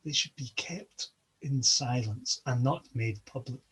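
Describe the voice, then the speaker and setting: A man's voice: medium pitch, unhurried, fairly bright tone, husky. A man voicing a dignified queen goddess who sternly scolds a troublemaker. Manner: reproving.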